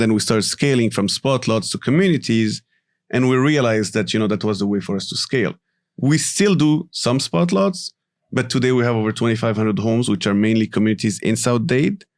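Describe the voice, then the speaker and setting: A man from Morocco, a company CEO, talking casually as a podcast guest and explaining things in a relaxed way with frequent asides. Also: medium pitch. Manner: relaxed, explanatory, tags sentences with "right?" and "you know". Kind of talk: casual interview talk.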